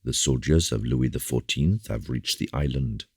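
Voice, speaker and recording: A man voicing a solemn, commanding bishop who speaks with grave, measured courtesy in an audiobook. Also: very deep voice, creaky, breathy edge, no room sound, studio quality, heavily acted. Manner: grave, measured rhetoric with repeated appeals. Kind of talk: audiobook character dialogue.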